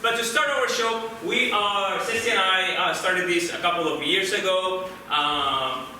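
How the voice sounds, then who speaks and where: A man hosts a live improv show, talking in halting bursts full of restarts. His voice sounds bright and a little high. It rings through an echoey theater, caught by a mic some distance away. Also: slight background noise. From Peru. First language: Spanish. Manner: halting, many restarts and fillers. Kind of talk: live stage hosting and audience chat. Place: small theater, live audience.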